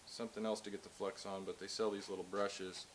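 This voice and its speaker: A man's voice: deep voice, little pitch movement, brisk, a little hiss or hum, low-quality recording. A man in his thirties giving a casual hands-on how-to demonstration in a large indoor workshop, explaining plainly as he works, recorded on a close camera mic. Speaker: tradesman instructor.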